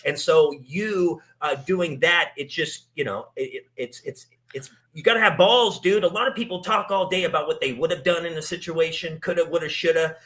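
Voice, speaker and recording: A man's voice: on the high side, moderate pace, few pauses, a bit bright. A man hosting a casual interview, talking in long rambling turns full of restarts and fillers. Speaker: podcast host. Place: in Italy.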